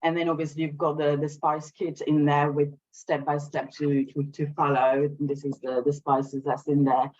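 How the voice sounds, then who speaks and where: A woman from Sri Lanka, raised in France, talking conversationally as an interview guest on a video call, with a hesitant delivery that often pauses to regroup. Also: deep voice, flat delivery, a little mellow, dull audio. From Sri Lanka, raised in France from age eight. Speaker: guest, small business owner. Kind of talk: conversational interview, telling own story and showing products.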